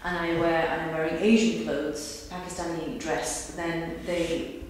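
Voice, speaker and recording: A woman in her thirties, raised in the UK with Punjabi as her first language, answers questions in a thoughtful way, often pausing with ums. Her voice sits a little low for a woman. It is picked up from across a very echoey room with a faint hiss behind. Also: flat delivery. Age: 30s. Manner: thoughtful, with frequent ums and restarts. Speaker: interviewee. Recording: echoey room recorded from a distance.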